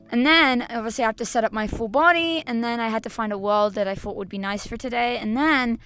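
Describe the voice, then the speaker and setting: Raspy hoarse girl streamer rambling to chat, kinda high voice, lots of restarts. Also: fairly bright tone. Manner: rambling, stop-start, repeats herself, laughs often. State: hoarse, slightly lost voice. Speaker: VTuber streamer. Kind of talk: casual live-stream chat to viewers.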